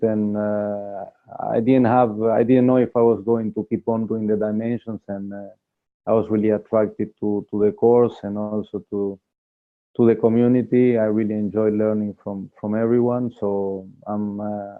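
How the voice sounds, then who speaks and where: A man from Argentina introducing himself on a group video call, hesitant with many fillers. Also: fairly low pitch. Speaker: course participant. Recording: phone-like call audio.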